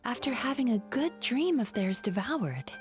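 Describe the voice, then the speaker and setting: A woman voicing a gentle young female dream-eating spirit in a video game, soft-spoken, polite and apologetic. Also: on the high side, expressive, moderate pace, faint music behind, natural voice.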